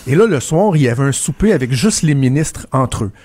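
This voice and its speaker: A man's voice: medium pitch, lively intonation, brisk, a little mellow, no echo. A man with a Québécois French accent, a political commentator chatting casually and telling anecdotes with frequent restarts. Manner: storytelling, many restarts and repeated words. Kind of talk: casual radio chat.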